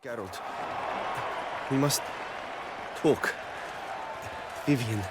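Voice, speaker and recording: A wounded young knight of a fantasy game, speaks extremely slowly in a medium-pitched voice. He sounds pained and labored but brave and lovestruck, with a loud crowd behind him. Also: heavy background noise. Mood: pained, dazed.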